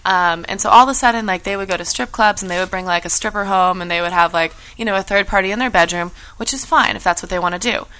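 A young woman hosting a casual chat show, telling stories in an animated, giggly way. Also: medium pitch, quick pace, few pauses. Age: young adult. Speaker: show host.

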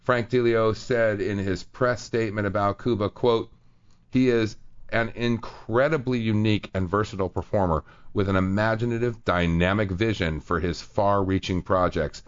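A man's voice: on the low side, moderate pace, no room sound, dull audio. A man hosting a radio talk show, chatting casually and reading quotes aloud with many fillers. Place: radio studio.

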